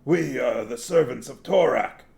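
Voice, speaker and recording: A man's voice: medium pitch, animated, a little slow, gravelly, voice pushed up. A man voicing an old sorcerer who poses as a humble pilgrim, speaking formally and deferentially with guttural sounds in a storybook reading.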